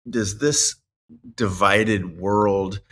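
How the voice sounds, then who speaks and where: A man hosting an interview show, asking long, winding questions at a measured pace. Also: on the low side, fairly even intonation, fairly bright tone. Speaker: podcast host.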